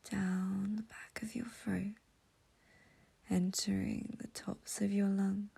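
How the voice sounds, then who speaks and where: Female meditation guide, soothing and intimate, very breathy whisper with a soft, mellow tone. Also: mid-range voice. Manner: soothing, slow, gentle, intimate.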